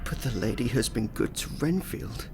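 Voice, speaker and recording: A man's voice: medium pitch, animated, gravelly, a little mellow, slight background noise. An actor playing a deranged, fearful male asylum patient who stammers and speaks of himself as a servant in an audio drama. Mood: fearful, devoted. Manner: halting, stammering, muttering in third person. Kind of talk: theatrical audio drama acting.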